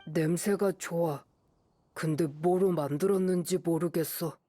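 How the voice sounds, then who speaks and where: A woman voicing a reserved, earnest wild boy with halting, simple speech in an anime game. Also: fairly low pitch, fairly even intonation, dark tone, dry recording. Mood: puzzled. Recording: music faintly behind the voice.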